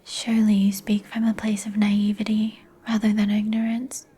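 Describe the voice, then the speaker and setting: A woman playing a melancholy, courteous vampire in a breathy whisper, with formal, old-fashioned phrasing for an ASMR roleplay. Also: medium pitch, monotone, moderate pace, mellow. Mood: gloomy, cautioning. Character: melancholy, courteous vampire woman, turned young some 150 years ago. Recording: music behind the voice. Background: music.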